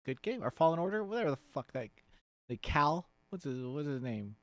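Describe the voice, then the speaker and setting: A man's voice: fairly high pitch, very animated, a little fast, a bit bright, dry recording. A man giving casual, off-the-cuff commentary as a streamer, blunt and sweary, rambling and often trailing off mid-thought.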